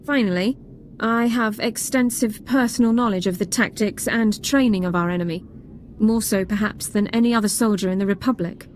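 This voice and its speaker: A woman's voice: mid-range voice, moderate pace, a little hiss or hum, lightly acted. A woman playing a prim, by-the-book army sergeant in a video game, speaking formally and precisely. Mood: composed, earnest. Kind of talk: scripted video game acting.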